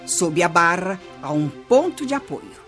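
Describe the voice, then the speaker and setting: A wise old grandmother with a very animated, swooping delivery. She patiently teaches her grandchildren in a children's radio drama, with music behind her. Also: on the low side, unhurried. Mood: patient, instructive. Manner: didactic, expressive storytelling. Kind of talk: children's radio play acting.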